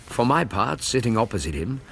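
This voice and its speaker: An actor playing a loyal, observant Victorian gentleman who narrates a radio drama in an English accent, with a measured, literary storytelling manner. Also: medium pitch, sing-song, creaky, slight background noise. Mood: wry, vivid.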